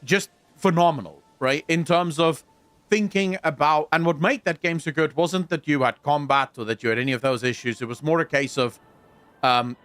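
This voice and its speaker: A man's voice: on the high side, fairly bright tone, no echo. A South African man streaming a game, chatting casually with viewers and swearing now and then. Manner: chatty, swears casually, reads and answers chat. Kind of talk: casual gameplay livestream chat.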